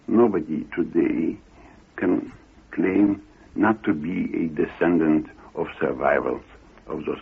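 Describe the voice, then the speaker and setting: A man speaking in a halting, solemn, lecture-like way, phrase by phrase, with a gravelly, husky voice. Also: deep voice. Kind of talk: reflective lecture-like talk. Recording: tinny, phone-like old radio recording.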